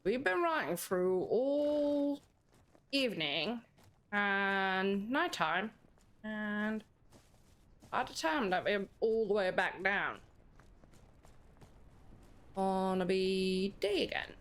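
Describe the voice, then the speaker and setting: A woman's voice: medium pitch, animated, drawn-out words, long pauses. A young Dutch woman with a Dutch accent, chatting with viewers while streaming a game. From Netherlands. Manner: chatty, answers viewers, bursts of laughter. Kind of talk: live-streamed gameplay chat.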